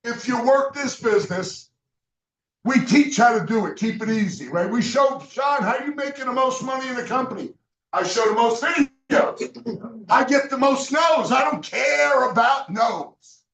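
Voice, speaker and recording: A man giving a loud, emphatic motivational sales speech to a roomful of guests, sometimes shouting to drive a point home. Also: very high voice, expressive, unhurried. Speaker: business leader speaking at a recruiting event. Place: meeting room with an audience. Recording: echoey room, mic from far off.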